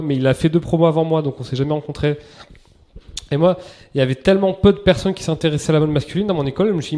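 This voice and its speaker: A man, a guest in a casual podcast interview, talking in a relaxed, chatty way with slang and many restarts. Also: fairly high pitch.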